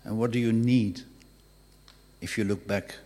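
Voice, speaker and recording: A man hosting, presenting clips and interviewing guests in a measured way with pauses. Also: lowish voice, fairly even intonation, warm tone, husky, big-room sound. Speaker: host.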